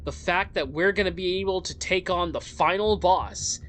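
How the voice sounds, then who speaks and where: A woman's voice: on the low side, moderate pace, fairly bright tone, a little hiss or hum. A woman hosting a let's play, reading a game's story aloud in a dramatic storyteller way and adding her own commentary. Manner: dramatic storyteller delivery with interjections. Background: game music.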